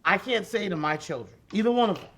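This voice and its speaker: Woman with a deep, raspy voice. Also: fast. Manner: animated, emphatic, repeats key phrases. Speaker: podcast guest, special education advocate. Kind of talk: conversational interview storytelling.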